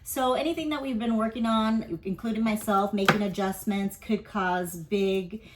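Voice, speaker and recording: A woman's voice: mid-range voice, steady tone, moderate pace, noisy background. A woman giving a casual weekly tarot and astrology reading. She speaks candidly, rambles, leans on fillers and swears offhandedly.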